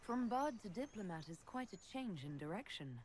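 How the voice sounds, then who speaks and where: A woman's voice: medium pitch, big pitch swings, normal speed, slight background noise, natural voice. A woman playing a composed, direct heroine who leads others in a fantasy video game. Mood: gentle. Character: composed heroine, bold leader of the Inquisition. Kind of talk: dramatic video game dialogue. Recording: game audio with background ambience.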